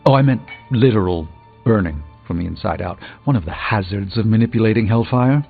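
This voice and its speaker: Weary proud sorcerer, middle-aged, measured, erudite, dryly wry, video game dialogue, dulled audio, music behind. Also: male voice, lowish voice, gravelly, narrow, tinny audio.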